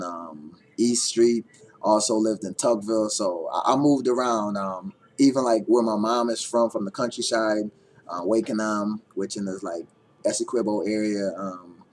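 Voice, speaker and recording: A man's voice: lowish voice, slight background noise. A young man from Guyana with a Guyanese accent, chatting casually and rambling with many fillers and repeated words. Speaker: vlogger. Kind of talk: casual chat answering tag questions.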